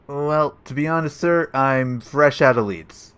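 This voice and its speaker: A man's voice: medium pitch, a little slow, a bit bright, smooth, lightly acted. A man playing an earnest, literal-minded and upright police detective in a comedic audio play. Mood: sincere. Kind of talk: comedic audio drama acting.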